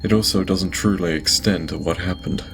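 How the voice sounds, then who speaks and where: An actor playing a guilt-ridden lord in an audio drama, dictating a private log in halting, stammering speech broken by sighs. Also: male voice, low-pitched, steady tone, background noise. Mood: weary, flustered. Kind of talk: audio drama acting, personal log monologue. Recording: music behind the voice. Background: music.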